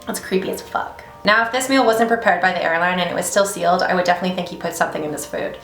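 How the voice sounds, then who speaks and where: A woman's voice: on the low side, racing, a bit bright, roomy sound, soft background music. A young woman hosting a beauty channel, chatting casually and reading a story aloud, with frequent swearing in her asides. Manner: chatty, swears freely in reactions.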